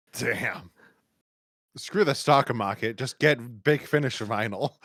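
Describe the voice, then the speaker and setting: A man's voice: mid-range voice, animated, slow pace, fairly bright tone, dry recording, clean studio sound. An American man hosting a podcast, chatting casually and wordily, with stammering restarts. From United States. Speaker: podcast host. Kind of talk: casual review podcast chat.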